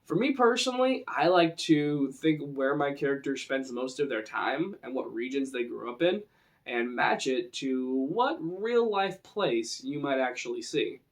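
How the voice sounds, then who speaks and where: A man's voice: medium pitch, animated, a little slow. Man giving an informal role-playing tutorial. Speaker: role-playing game presenter.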